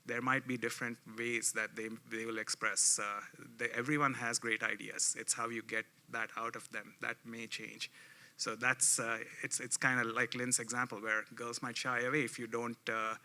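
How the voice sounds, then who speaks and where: A man from India with an Indian accent, sharing an earnest, measured anecdote as a conference panelist. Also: medium pitch, level tone, sharp tone.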